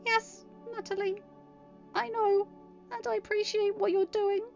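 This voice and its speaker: A woman plays a gentle teenage girl in a fantasy audio story. Her voice is high, soft and pleading, a bit hesitant. The recording is slightly dull with faint music. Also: little pitch movement, a little slow, gravelly, exaggerated voice. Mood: meek.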